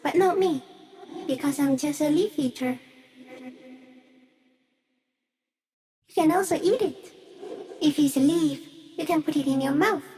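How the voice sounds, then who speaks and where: A shy, ancient giant stick insect speaks in a high feminine voice. The creature is gentle and solemn, delivering poetic game monologue with hushed, otherworldly wonder. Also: female voice, normal speed, a little hiss or hum. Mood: playful, childlike.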